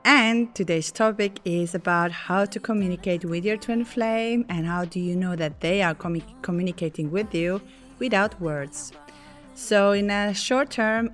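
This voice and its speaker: A woman with a hoarse, worn voice hosting a spiritual podcast in a casual, chatty way, apologizing now and then and slipping in small laughs. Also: lowish voice. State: hoarse, lost voice. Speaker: podcast host.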